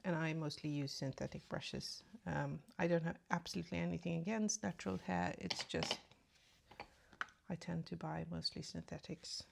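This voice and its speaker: A woman chatting casually through a makeup tutorial, speaking softly and often half-whispering, with a slight cold and a sore throat. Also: low-pitched, steady tone, normal speed, gravelly, slight background noise. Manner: soft, often half-whispered asides while applying makeup. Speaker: beauty vlogger. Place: at home in front of a mirror.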